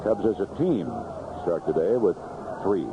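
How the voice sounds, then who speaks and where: A noisy, dull old radio broadcast carries an American man calling baseball. His pitch swings in a lively way as he narrates each play in clipped, unhurried phrases, in the manner of a 1970s play-by-play announcer. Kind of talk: live radio sports commentary, 1970s. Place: outdoor ballpark broadcast booth.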